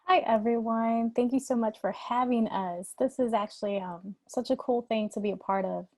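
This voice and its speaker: A woman's voice: on the high side, fairly even intonation, moderate pace, dry recording. A woman in her thirties, born in Ecuador and raised in New York City, talking in a chatty, friendly way as an alumni panelist and laughing between remarks. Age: 30s. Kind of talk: casual panel talk.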